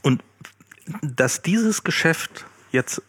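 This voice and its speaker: A man co-hosting a podcast, talking casually and haltingly as he searches for words. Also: on the high side, expressive, fairly bright tone, husky, no echo. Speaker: podcast co-host. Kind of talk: casual discussion.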